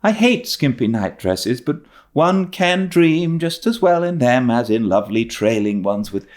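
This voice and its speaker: Man acting out young orphan girl, dramatic, wistful, chatty, high pitch, very lively intonation, storybook reading. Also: measured pace. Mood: earnest, chatty. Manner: earnest, theatrical.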